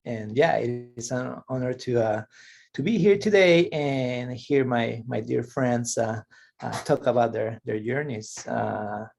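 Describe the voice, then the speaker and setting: A man from Guatemala introduces himself to the panel with hesitant, modest phrasing. His voice has a strong gravelly fry, and he speaks over a video call. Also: medium pitch, a little slow, darkish tone, a little hiss or hum. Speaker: academic panelist. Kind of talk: spoken self-introduction.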